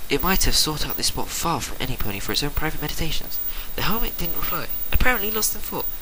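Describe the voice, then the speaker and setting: A man's voice: on the high side, very animated, fast, pushed and bright. Man reading a story over noticeable noise. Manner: theatrical narration with stumbles and exasperated asides. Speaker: reader of fan fiction. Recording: noisy amateur recording with clicks.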